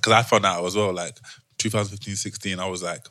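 A young London-accented man with a fairly low but bright, forceful voice hosts a podcast. He banters casually in slang and often repeats words as he goes. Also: steady tone, fast, no room sound. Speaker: podcast host.

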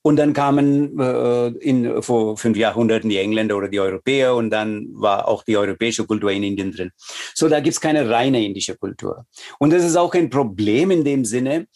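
A man's voice: mid-range voice, moderate pace, a little mellow. A middle-aged man from India with an Indian accent, whose first language is Tamil, answering interview questions as a yoga teacher in a thoughtful, hesitant way with many fillers.

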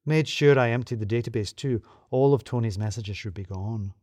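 A man voicing a calm, matter-of-fact female office co-conspirator in an audio story, understated in manner. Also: mid-range voice, moderate pace, no room sound, clean studio sound, lightly acted.

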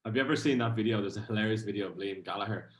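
A man hosting a casual podcast, jokey and quick to laugh, steering the talk along. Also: on the low side. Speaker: podcast host. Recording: roomy echoey sound.

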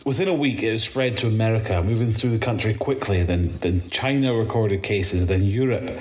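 A man with a Scottish accent playing a weary, rattled zombie-apocalypse survivor in an audio drama, speaking haltingly and swearing as he records his testimony. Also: on the low side, a little mellow, slight background noise, close to an everyday voice. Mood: weary, bitter.

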